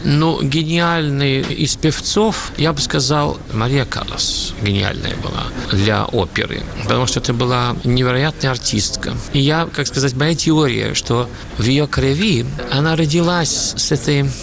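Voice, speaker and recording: A Swedish-born opera singer talks in an interview, pausing often to search for the right word, with slightly non-native phrasing. His voice has a gravelly, creaky edge. The radio recording carries a clear layer of background noise. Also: medium pitch. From Sweden. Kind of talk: conversational interview answers.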